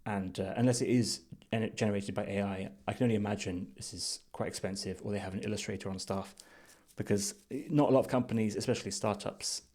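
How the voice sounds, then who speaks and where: A man with a fairly low voice talks through what is on his screen as a reviewer. His delivery is unscripted and thoughtful, measured as he reasons aloud. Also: fairly even intonation, a little mellow. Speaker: design reviewer. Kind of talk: unscripted screen-share commentary.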